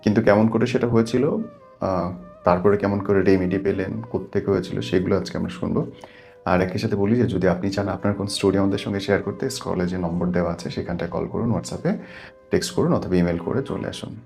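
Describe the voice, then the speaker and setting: A man's voice: deep voice, fast, creaky. A man with a Bangladeshi accent hosting a storytelling interview show as the radio host. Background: soft background music.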